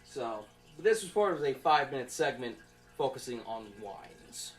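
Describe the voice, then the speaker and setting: A young man giving an informal student presentation from notes, in a halting, conversational way. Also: medium pitch, sing-song. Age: young adult.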